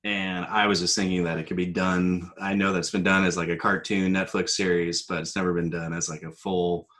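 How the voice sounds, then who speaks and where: A man's voice: low-pitched, little pitch movement, brisk, fairly bright tone. A man chatting casually as a podcast guest, speaking in a tentative, loosely hedged way. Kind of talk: casual chat.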